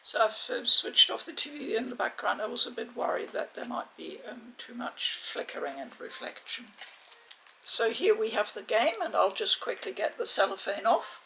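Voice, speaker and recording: A woman giving a casual, chatty unboxing commentary in a measured, hesitant way, with a creaky voice. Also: mid-range voice, animated, bright, forceful tone, poor audio. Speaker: video game unboxing host. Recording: faint background noise.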